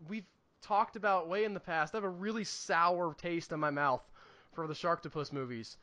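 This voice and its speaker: A man with a high, bright voice talks as a podcast co-host. He chats casually and gives his opinions in a wry, slightly sweary way. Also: moderate pace. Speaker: podcast co-host reviewing movies. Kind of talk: casual chat.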